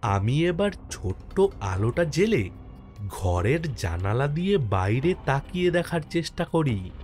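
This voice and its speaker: A man playing an easygoing village postmaster who narrates his own ghost story in an expressive, dramatic way for a horror cartoon. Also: mid-range voice, measured pace. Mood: uneasy, suspenseful. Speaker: first-person narrator and lead character. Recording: music under the voice.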